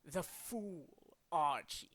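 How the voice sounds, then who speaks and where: A man's voice: fairly high pitch, fairly bright tone. A man voicing a condescending, cultured and fanatical villain leader from a video game, speaking in a formal, theatrical way. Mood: gloating, triumphant. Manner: formal, pompous, theatrical, deliberate.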